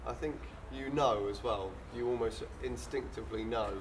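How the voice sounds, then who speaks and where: A man's voice: medium pitch, moderate pace, far from the mic, noisy background. A young man from England, a touring musician, chatting casually in an interview in a bar, rambling and repeating his phrases. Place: small town bar.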